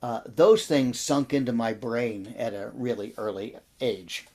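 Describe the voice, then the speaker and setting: An American man in his sixties reminiscing in an interview as a guest, telling his story in a reflective, halting way. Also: mid-range voice, darkish tone. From American Midwest and Texas. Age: 60s. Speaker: interview guest, audio drama producer and actor.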